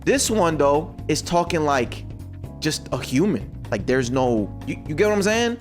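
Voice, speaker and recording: A man giving casual, slangy reaction commentary as a streamer, conversational and quick to exclaim. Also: a little high, very animated, over music. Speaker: streamer reacting to game voice lines.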